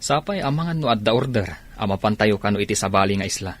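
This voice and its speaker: A man's voice: fairly low pitch, expressive, fast. An actor playing a soldier passing news to his comrade in an Ilocano radio drama. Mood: urgent, matter-of-fact. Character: male soldier relaying news to his buddy.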